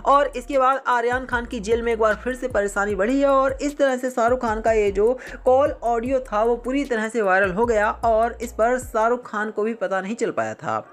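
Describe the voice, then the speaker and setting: Female news narrator, somewhat high voice, for scripted sensational channel report. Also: moderate pace, hardly any pauses.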